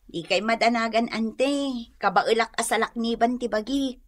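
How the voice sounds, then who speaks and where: A woman's voice: fairly high pitch, normal speed, close to an everyday voice. An actress playing a self-assured, teasing young single woman in a comedy radio drama, speaking with an Ilocano accent. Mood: confident.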